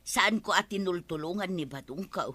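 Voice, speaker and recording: An actress playing an exasperated farmer's wife in an Ilocano radio comedy drama, with an Ilocano accent. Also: female voice, lowish voice, normal speed, pushed and bright, husky, dry recording. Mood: regretful.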